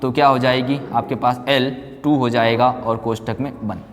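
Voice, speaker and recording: A man's voice: medium pitch, fairly even intonation, moderate pace, slight background noise. A man teaching like a classroom physics lecturer, instructive, asking questions and answering them himself, repeating key terms. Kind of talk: classroom exam-prep lecture in Hindi mixed with English terms. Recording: long hall-like reverb on voice.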